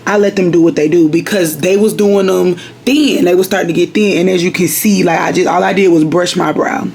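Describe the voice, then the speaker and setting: A woman's voice: lowish voice, steady tone, brisk, darkish tone. A woman, chatting at home at her vanity in a rambling, chatty way, speaking straight to her viewers. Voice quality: raspy. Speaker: vlogger. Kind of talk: casual chat to viewers.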